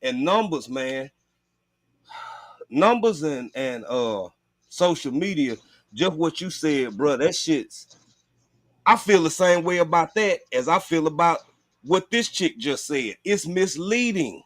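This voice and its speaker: A man's voice: fairly high pitch, animated, slow, fairly bright tone, no room sound, studio quality. A man chatting casually on a livestream in a blunt, profane, informal way. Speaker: livestream host.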